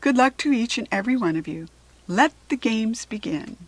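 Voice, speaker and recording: A hearty male sports-day organizer from a children's storybook, put on by a woman. He projects loudly and stresses his words like an announcer, with a husky edge. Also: a little high, lively intonation, normal speed, dry recording. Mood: rallying, announcing. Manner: loud, emphatic announcer delivery. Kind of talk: children's storybook character reading.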